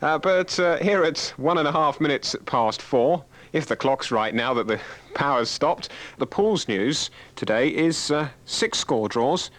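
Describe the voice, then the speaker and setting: A British man presenting live sports results on radio, calm and matter-of-fact through technical trouble. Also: on the high side, unhurried.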